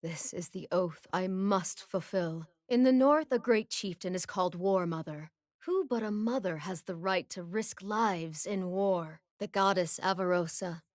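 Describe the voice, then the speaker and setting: A woman voicing a calm, solemn warrior chieftain in a video game, grave and measured. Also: fairly low pitch, dry recording, clean studio sound, close to an everyday voice. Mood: solemn resolve. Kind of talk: video game character voice lines.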